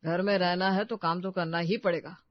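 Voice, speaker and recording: A man's voice: high-pitched, little pitch movement, fairly quick, no room sound, muffled recording, lightly acted. A man playing a weary young husband, dismissive and placating, in a family drama story.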